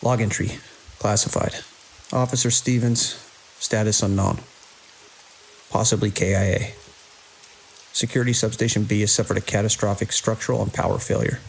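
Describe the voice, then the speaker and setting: Man acting grim, gravelly security chief. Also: on the low side, steady tone, moderate pace, pauses often, low-quality recording. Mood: grim, shaken. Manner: terse, urgent orders. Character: grim, commanding male security chief of research facility. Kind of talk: survival horror audio drama acting. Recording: constant hiss and rain-like noise.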